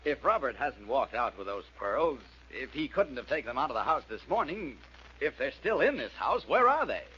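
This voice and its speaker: An actor playing a genial, earnest household doctor who is easily alarmed, in 1940s radio style. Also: male voice, mid-range voice, sing-song, moderate pace, pushed and bright, husky, heavily acted. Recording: dull old radio recording, faint noise.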